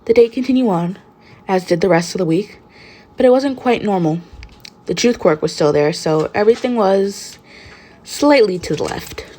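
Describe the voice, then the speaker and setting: A woman's voice: medium pitch, animated, normal speed, dark tone. A woman reading fan fiction aloud as scripted narration. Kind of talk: scripted story narration with acted dialogue.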